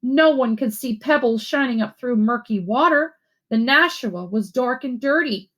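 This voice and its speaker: A woman reading aloud as a teacher to schoolchildren, with expressive, deliberate phrasing. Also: on the high side. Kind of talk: read-aloud storytelling and simple instructions for children.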